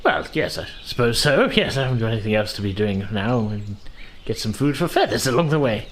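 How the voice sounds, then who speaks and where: A man's voice: medium pitch, sing-song, fairly quick, a little hiss or hum, close to an everyday voice. Man playing a fretful, doting forest animal keeper. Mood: mild, agreeable. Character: fussy, caring male animal keeper in a forest.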